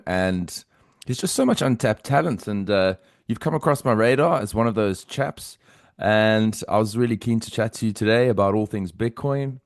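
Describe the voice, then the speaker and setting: Chatty low-voiced male podcast host. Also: dry recording, studio quality. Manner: chatty, long winding questions. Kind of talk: casual interview questions.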